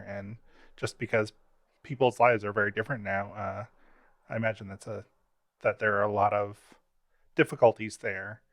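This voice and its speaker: A man's voice: fairly low pitch, fairly bright tone, dry recording, studio quality. A man hosting an interview, asking questions in a hesitant, meandering, conversational way. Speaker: interviewer, host.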